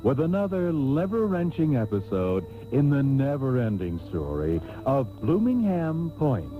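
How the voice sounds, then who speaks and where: A man's voice: mid-range voice, huge pitch swings, unhurried. A pompous male serial announcer who swoops dramatically through every phrase. He is grandiose and mock-serious, with music behind him on a tinny, narrow-band old radio tape. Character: pompous, melodramatic male soap opera host.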